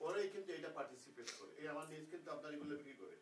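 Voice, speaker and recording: A man's voice: on the high side, lively intonation, very fast. A man who owns wedding halls, speaking persuasively and mixing in English phrases as he makes an offer at a networking event. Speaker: wedding hall owner. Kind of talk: casual remarks at a networking event. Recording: roomy hall, distant mic, noisy.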